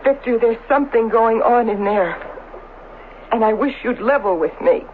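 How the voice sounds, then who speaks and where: An actress as a pleading, weary wife, tinny recording. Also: female voice, on the high side, lightly acted. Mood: weary reproach. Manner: anxious, halting, pleading. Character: worried, weary doctor's wife, timid and pleading. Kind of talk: old-fashioned radio drama acting. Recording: tinny, band-limited, noisy radio broadcast recording.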